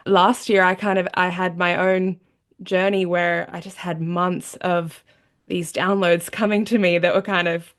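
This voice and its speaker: A woman hosting a podcast, speaking in an earnest, musing way and slowing down when she reflects. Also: lowish voice, flat delivery. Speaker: podcast host.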